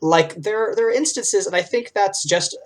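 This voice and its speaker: A man's voice: high-pitched, normal speed. Earnest, rambling man on a podcast. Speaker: podcast co-host. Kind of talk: casual chat, fan review.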